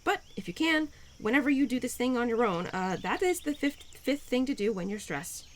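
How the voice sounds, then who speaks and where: A woman plays a cheerful spirit of spring in an intimate audio roleplay. Her pitch swings in a lively way as she teases and encourages, getting flustered now and then. Insects and outdoor nature sounds are clearly heard behind her. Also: a little fast, slight background noise, natural voice. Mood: playful, instructive. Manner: playful, encouraging, with flustered hesitations. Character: cheerful, playful, pun-loving spirit of spring, Mother Nature's daughter.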